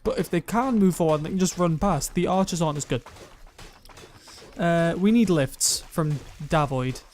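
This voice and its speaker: A young man with a self-described monotone voice, rambling through gameplay commentary and viewer comments, often correcting himself mid-sentence. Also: a little high, normal speed, slight background noise. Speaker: gaming YouTuber.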